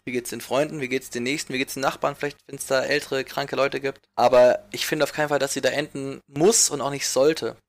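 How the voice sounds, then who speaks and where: A man's voice: medium pitch, fairly even intonation, brisk, few pauses. A young man from the Munich area, a childcare worker, who speaks earnestly in a reflective conversation and often searches for his words.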